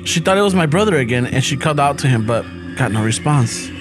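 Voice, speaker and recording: A man with a Chicano English accent, casually reading a listener's story aloud on a podcast, relaxed and profane, mixing in Spanish phrases. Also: medium pitch, quick pace. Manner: laid-back, swears often, laughs through lines. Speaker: podcast host. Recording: music playing underneath.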